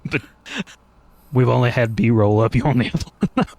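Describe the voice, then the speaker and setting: A man's voice: mid-range voice, moderate pace, creaky, a little mellow, dry recording. A man hosting a podcast in casual conversation, jokey and loose with his swearing. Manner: jokey, profane banter. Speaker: podcast host. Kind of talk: casual chat.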